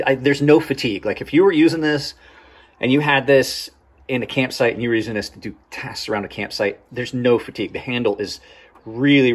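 A man in his forties with a slightly husky voice gives a casual, rambling product review, often repeating words and backtracking. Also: medium pitch. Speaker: host of knife review channel.

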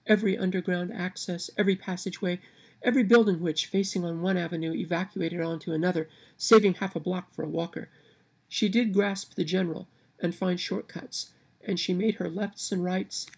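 Midwestern lady w nasal twang, kinda husky and gravelly, reading slow into her webcam at home. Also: on the low side, darkish tone. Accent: Midwestern American. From Kansas. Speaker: vlogger. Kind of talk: reading a word list and a novel passage aloud. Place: home room by window blinds, indoors. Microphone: webcam at arm's length.

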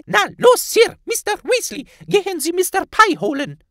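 Squeaky little house elf, super high and swoopy, fussy scolding servant, fantasy audiobook. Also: male voice, big pitch swings, normal speed, a bit bright, no echo, clean studio sound, full character voice. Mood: urgent. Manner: scolding, servile third-person address. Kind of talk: audiobook character voice.

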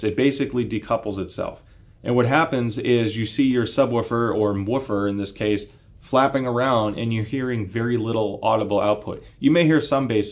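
A man of about forty speaks in a fairly low voice. He explains things conversationally, like a reviewer walking a viewer through equipment in casual terms. He is seated indoors and talks in an informal, explanatory style. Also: darkish tone. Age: 30s to 40s. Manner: conversational, explanatory, uses analogies. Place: indoor home listening room, seated.

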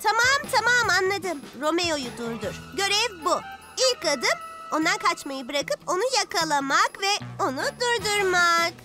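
A woman voicing a spirited, boastful six-year-old superhero girl in an animated children's series. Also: very high voice, measured pace, exaggerated voice. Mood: determined. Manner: boastful, eager. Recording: music behind the dialogue.

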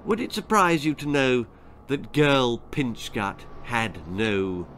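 Slow, expressive older man telling stories. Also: medium pitch, a little hiss or hum. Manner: theatrical, deliberate. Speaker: author reading his own stories. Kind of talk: dramatic children's story narration. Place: small book-lined room indoors.

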